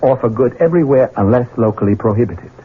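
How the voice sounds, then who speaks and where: An American man delivers a scripted radio promotion. His voice is mid-pitched and very rough, with lively swings. The seventies broadcast sounds thin and muffled. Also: fast. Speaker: radio announcer.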